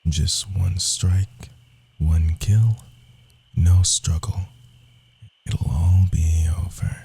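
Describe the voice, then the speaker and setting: A man playing a sly, cocky rogue assassin in a fantasy audio roleplay, speaking softly and theatrically, often dropping into whispers. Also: fairly even intonation, extremely slow, background noise, heavily acted. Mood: wary, hushed. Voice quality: low, gravelly, husky.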